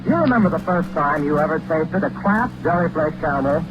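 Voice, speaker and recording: Lively old-time radio announcer, high-voiced man. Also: a little fast. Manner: polished, salesmanlike. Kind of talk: 1940s radio commercial announcing. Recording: dull old radio recording with music.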